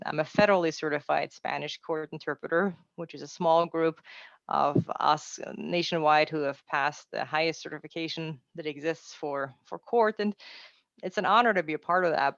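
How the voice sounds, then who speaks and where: A woman's voice: deep voice, vocal fry, no echo, highs cut off. A woman in her forties from Austria, raised in Mexico City, with an Austrian accent, giving an upbeat, chatty webinar talk on translation careers with self-deprecating asides. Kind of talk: encouraging presentation.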